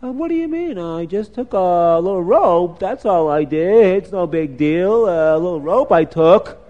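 Man putting on an excitable, naive little boy. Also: high-pitched, a little slow, highs cut off. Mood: defensive. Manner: exclaiming, innocent, self-justifying.

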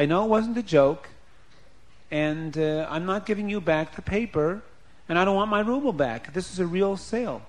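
A man voicing a poor man who is calm, earnest and quietly insistent, in an oral story. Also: a little high, close to an everyday voice. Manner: plain, steady, insistent.

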